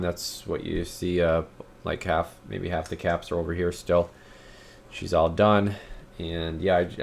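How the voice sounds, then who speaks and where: A man giving a casual, folksy, rambling walkthrough as a repair technician, thinking aloud as he goes. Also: sits low. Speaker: radio repair technician presenting his repair videos. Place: radio repair workshop.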